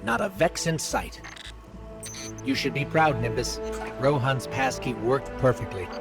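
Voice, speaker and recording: A man voicing a small, curious robot companion in a video game, asking quick questions over game music. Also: medium pitch, animated. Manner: quick, inquisitive, reactive.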